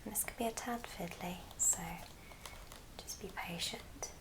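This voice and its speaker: Slow whispering woman, ASMR tutorial. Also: mid-range voice, low-quality recording. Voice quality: breathy, unvoiced whisper. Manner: gentle, unhurried step-by-step explaining. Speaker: craft tutorial host.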